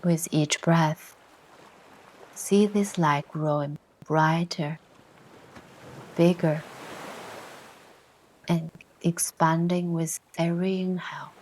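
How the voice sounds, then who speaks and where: A woman leads a guided meditation in a low, even voice. Her delivery is very slow and gentle, with long pauses between phrases. Soft ocean surf sounds sit behind her words. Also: slight background noise. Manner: soothing, gentle, unhurried instruction with long pauses. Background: ocean waves ambience.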